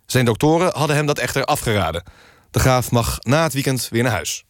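A man playing an earnest radio news presenter, reading scripted satirical items in a formal, deadpan way. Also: mid-range voice, fairly quick, dry recording, lightly acted.

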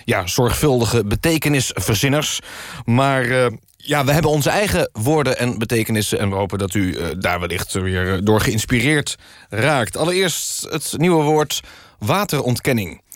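Male satirical radio host, deadpan and mock-formal, medium pitch, dry studio sound. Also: moderate pace, no room sound. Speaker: radio presenter.

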